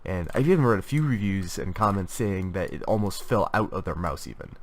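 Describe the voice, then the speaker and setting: A young man with a cold talks through his complaints about gaming gear, his voice stuffy and sitting a little low. He rambles casually, full of fillers and false starts. Keyboard typing is heard behind him. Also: expressive, brisk. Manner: rambling, many fillers and restarts. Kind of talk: casual product review talk.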